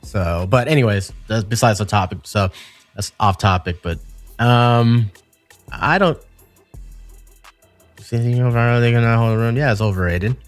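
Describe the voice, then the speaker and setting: A man's voice: mid-range voice, moderate pace, pauses often, faint music behind. A man with a Thai accent, a DJ co-hosting a podcast, talking loosely and casually and swearing freely. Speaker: DJ and podcast co-host.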